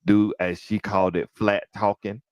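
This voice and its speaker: A man hosting a podcast, speaking with careful, articulate diction and playful animated asides. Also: on the low side, moderate pace, clean tone, no room sound, studio quality. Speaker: podcast host. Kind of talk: casual interview chat.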